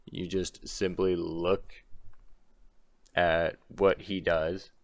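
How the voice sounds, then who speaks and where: A young man talks through a rambling, exasperated rant, his very low voice worn rough in the throat. The sound is close and dry with no room around it. He keeps breaking into short laughs between his complaints. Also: level tone, drawn-out words, no room sound. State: strained throat. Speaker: commentary creator. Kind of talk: ranting commentary.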